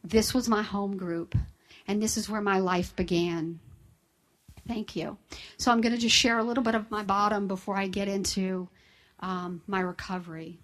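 A woman's voice: mid-range voice, fairly even intonation, normal speed. A woman, sharing her personal recovery story with an audience in a candid, self-deprecating way. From Southern United States. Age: 50s.

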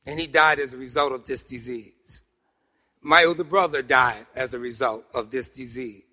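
A middle-aged or older man with a New York accent, giving an animated, humorous personal testimony to a group, with emphatic pauses and repeated phrases. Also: medium pitch. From Harlem, New York City, United States. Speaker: speaker at a recovery meeting. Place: meeting hall, speaking to a group. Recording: echoey hall with long reverb.

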